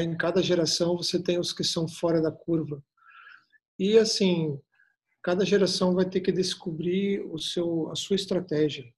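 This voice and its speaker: A middle-aged man with a Brazilian accent chatting in an interview, reflective and fond of rhetorical questions. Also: fairly high pitch. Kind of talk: casual interview conversation.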